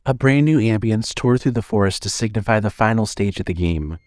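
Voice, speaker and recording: Low-voiced man narrating horror. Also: brisk, darkish tone, no room sound, studio quality. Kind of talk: scripted horror story narration.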